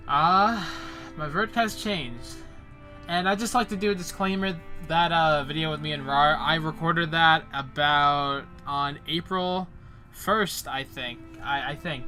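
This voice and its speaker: A young man with a bright, forceful voice and a slightly high pitch, hosting a loose, joking anime debate. He rambles and restarts, and faint music plays underneath. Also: unhurried. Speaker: channel host. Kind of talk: casual anime debate chat and voice-over.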